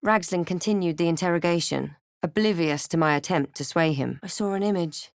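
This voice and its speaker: A woman voicing a wry, resolute adventurer and spellcaster in a fantasy game, with measured, dramatic delivery. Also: lowish voice, dry recording, studio quality, close to an everyday voice. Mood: grave storytelling. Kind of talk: fantasy game voice lines: narration, remarks, incantations.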